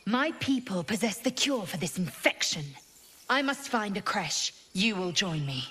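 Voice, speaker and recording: A woman's voice: mid-range voice, very animated, a little slow, fairly bright tone, a little hiss or hum. A woman playing a haughty alien warrior in a fantasy game, imperious and clipped in manner. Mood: stern, imperious. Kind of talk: cinematic fantasy game acting.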